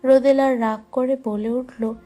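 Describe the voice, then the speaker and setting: Female storyteller for romantic audio fiction: very mellow tone, higher register, light music bed. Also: fairly even intonation, a little slow. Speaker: narrator. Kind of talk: scripted romantic story narration. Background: faint music.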